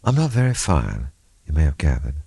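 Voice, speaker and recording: Troubled female officer read by a man, gentle, halting, slightly lifted pitch, close dry audiobook sound. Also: wildly swooping pitch, creaky, breathy edge, no echo, exaggerated voice. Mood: earnest, uneasy. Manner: careful, hesitant, groping for words. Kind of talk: audiobook character acting.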